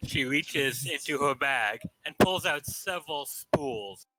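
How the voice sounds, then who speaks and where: A man's voice: mid-range voice, animated, slow, pushed and bright, no room sound, rough recording. A man reading narration aloud casually in a comedic staged reading, amused as he goes.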